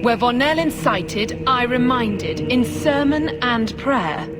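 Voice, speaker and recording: A woman's voice: fairly high pitch, animated, slow, loud music behind the voice. A woman playing a zealous, self-righteous young cleric with grandiose, preaching delivery in a fantasy video game. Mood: fervent, righteous.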